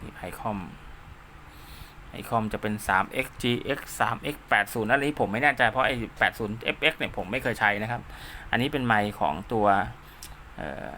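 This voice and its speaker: A man's voice: medium pitch, brisk, bright, forceful tone, a little hiss or hum. A man hosting an informal DIY tutorial, talking casually as he works, repeating himself and dropping in little spoken sound effects. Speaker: host of a DIY radio repair channel. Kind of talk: informal hands-on tutorial, talking while drawing diagrams.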